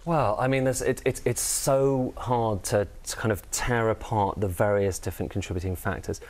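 A man's voice: lowish voice, steady tone, normal speed, darkish tone. A man commenting on economics in a panel debate, thinking aloud and hedging with frequent qualifiers. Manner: thoughtful, hedging, many "kind of" and "I mean".

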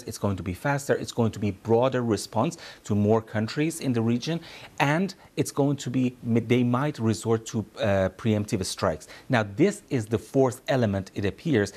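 A journalist gives news analysis in an interview in a fairly low male voice. He explains carefully and often repeats words as he gathers his thoughts. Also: darkish tone.

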